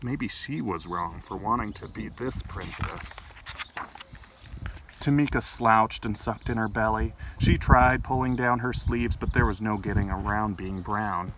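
A man's voice: lowish voice, unhurried. Storytime man, slightly distant outdoor recording. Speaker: library storytime host. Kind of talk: expressive picture-book reading for children. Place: outdoors in a garden. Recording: distant mic, faint outdoor noise.

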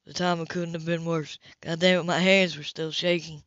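A woman's voice: low-pitched, little pitch movement, moderate pace, a bit bright, no room sound, highs cut off, pitched below the performer's own voice. A woman voicing a blunt, impatient, hot-headed male racer in an audiobook romance. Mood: shaken, pleading. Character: hot-headed male racer, gruff, defensive, earnest lover.

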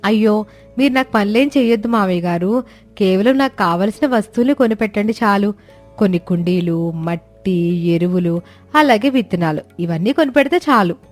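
A woman voicing a caring, determined young daughter-in-law in an animated story, earnest and respectful. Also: mid-range voice, moderate pace, faint music behind, natural voice.

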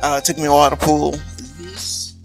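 An American man in his thirties vlogging casually as he works, loose and halting, thinking aloud into a close handheld camera. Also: a little high, level tone, gravelly, faint music behind, poor audio. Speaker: motorcycle vlogger. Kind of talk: casual vlog narration while working.

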